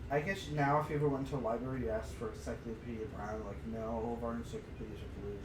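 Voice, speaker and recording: A man's voice: medium pitch, steady tone, fast. Man in a roomy, noisy, distant recording. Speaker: podcast co-host. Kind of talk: casual chat and reading aloud from a book. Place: untreated room, podcast recorded on a live stream. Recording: roomy, distant mic with noticeable noise.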